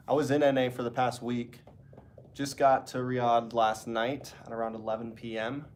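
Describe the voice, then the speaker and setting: A man with a stuffy, congested nose talks to his stream in a loose, rambling way. He sounds relaxed and chatty, drifting from one thought to the next as he speaks from a hotel room. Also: medium pitch, slight background noise. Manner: rambling, relaxed, talking to chat. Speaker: esports caster. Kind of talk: casual livestream chat.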